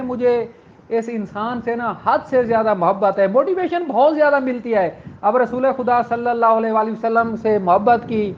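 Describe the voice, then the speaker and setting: An earnest male law lecturer with a strikingly high voice. He speaks warmly and directly to his listeners as sisters and brothers. The sound is a little dull, with a faint background hiss. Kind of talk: motivational lecture.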